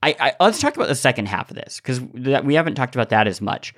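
A man co-hosting a podcast in casual discussion, thinking aloud with animated enthusiasm. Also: medium pitch, quick pace. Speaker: podcast co-host.

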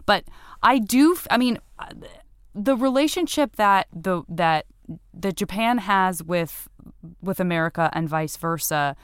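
A woman's voice: mid-range voice, animated, a little slow, clean tone, no echo, clean studio sound. A woman from Arizona hosting a casual chat, rambling and often restarting her sentences, poking fun at herself. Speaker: podcast host.

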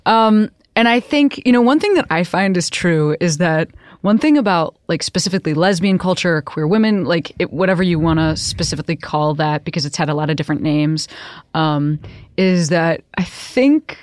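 A woman's voice: fairly low pitch, normal speed, darkish tone, no room sound, clean studio sound. A woman in her thirties hosting a casual podcast interview, rambling with lots of fillers and self-corrections. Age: 30s. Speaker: podcast host.

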